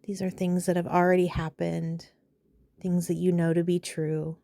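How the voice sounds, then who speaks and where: A woman leading a guided meditation in a calm, soothing, unhurried way, pausing between phrases. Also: lowish voice, gravelly, dry recording.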